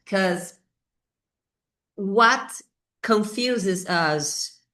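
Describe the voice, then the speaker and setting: A middle-aged Brazilian woman, a native Portuguese speaker, teaches English grammar informally with a Brazilian accent, thinking aloud haltingly and repeating key words. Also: medium pitch, expressive, sharp tone. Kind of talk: informal whiteboard grammar lesson.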